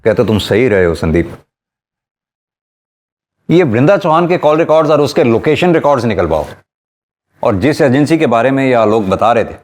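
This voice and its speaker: A man playing a brisk, authoritative senior police inspector in a TV crime serial, methodical and commanding. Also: mid-range voice, big pitch swings, darkish tone, natural voice. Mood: probing, firm. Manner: commanding, methodical, gives clipped orders. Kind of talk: Hindi TV crime serial acting. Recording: dramatic music under dialogue.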